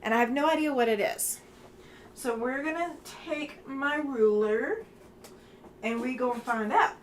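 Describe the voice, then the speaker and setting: Southern woman quilting at home, tired, chatty, laughs a lot; slow, slightly high voice, faint noise behind. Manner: chatty, laughs often, thinks aloud with fillers. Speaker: quilting livestream host. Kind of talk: casual chat while quilting.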